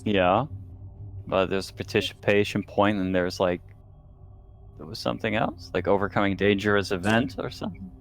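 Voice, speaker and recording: A man with a very low voice talks casually as a player in a tabletop game. His delivery is hesitant and halting as he checks details. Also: creaky. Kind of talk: casual chat.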